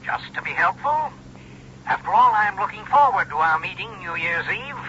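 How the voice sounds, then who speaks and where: An actor playing a smug retired criminal who slyly and politely needles a police inspector in a radio drama. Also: male voice, high-pitched, animated, moderate pace, bright, forceful tone. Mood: smug needling. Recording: dull, noisy old radio recording.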